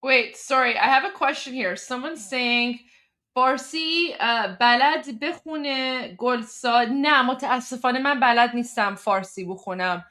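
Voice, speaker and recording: A woman's voice: high voice, fast, bright, forceful tone. A woman with a Canadian accent, a Persian speaker raised in Canada, chatting casually with her viewers and filling her talk with "like" and "you know". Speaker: stream host. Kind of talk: casual chat with live chat viewers.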